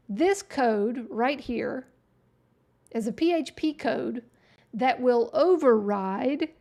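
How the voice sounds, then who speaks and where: A fairly high-voiced woman, hosting a relaxed livestream tutorial. She talks through each click in a chatty, folksy way, and her voice is wearing hoarse. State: losing her voice. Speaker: web design livestream host. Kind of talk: casual screen-share tutorial chat.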